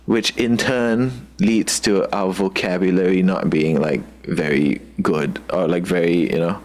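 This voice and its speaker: Low-voiced Singaporean man, halting. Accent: Singaporean English. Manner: loose and halting, searching for words with many "like" fillers. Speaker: guest musician. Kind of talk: casual video-call chat.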